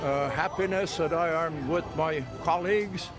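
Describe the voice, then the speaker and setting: A man in his nineties, a war veteran, recalling his service in an interview in a halting, deliberate way. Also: on the high side. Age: 90s. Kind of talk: interview recollection. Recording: music over outdoor interview sound. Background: background music.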